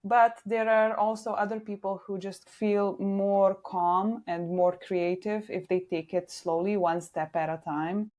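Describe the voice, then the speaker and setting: Slovenian-accented woman, low voice. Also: a little mellow. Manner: chatty, frequent "like" fillers. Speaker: guest, social media manager. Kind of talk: casual interview chat.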